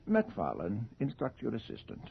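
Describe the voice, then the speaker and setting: An actor playing a middle-aged, sardonic and commanding anatomy professor in a radio drama, with a pompous, dry manner. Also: male voice, fairly low pitch, wildly swooping pitch, normal speed, creaky, breathy edge, full character voice. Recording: dull noisy radio recording.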